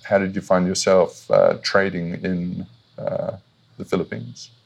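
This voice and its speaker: A man's voice: deep voice, very animated, a little slow, creaky, slightly rough. A man hosting an interview podcast, talking loosely with many ums and restarts. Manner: rambling, many fillers and restarts. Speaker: podcast host.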